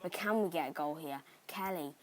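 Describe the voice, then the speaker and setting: A young man from Cardiff with a Cardiff Welsh accent, chatting excitably through gameplay commentary with rambling asides. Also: a little high, normal speed. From Cardiff, Wales. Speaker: gaming YouTuber.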